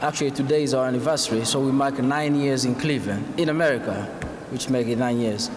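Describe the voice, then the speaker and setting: A man's voice: medium pitch, fairly even intonation, quick pace. Man from Congo, refugee advocate, grateful and eager, hall reverb, faint noise. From Democratic Republic of Congo. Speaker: refugee community advocate, commission member. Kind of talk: formal public statement.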